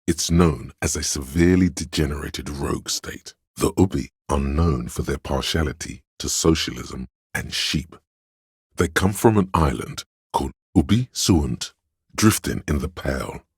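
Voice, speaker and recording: A man playing a learned, detached inner voice of trivia in a video game, narrating in a measured, erudite, wry way with a smooth, resonant tone. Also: very deep voice, no echo, clean studio sound, full character voice.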